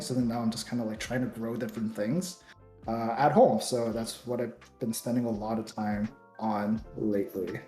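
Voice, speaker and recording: Chatty young man in a roomy room. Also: mid-range voice. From Taiwan. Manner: relaxed, rambling with many ums and likes. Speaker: podcast co-host. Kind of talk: casual chat.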